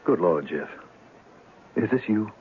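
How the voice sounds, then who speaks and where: An actor playing a concerned, patient friend, gentle and coaxing, in a 1970s radio drama. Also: male voice, lowish voice, animated, a little slow, slightly rough, exaggerated voice. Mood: hopeful, puzzled. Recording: tinny, lightly noisy old radio recording.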